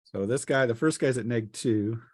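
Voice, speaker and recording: A man's voice: mid-range voice, moderate pace, darkish tone, dry recording, clean studio sound. A man running a tabletop roleplaying game as game master, narrating combat casually with vivid scene-setting.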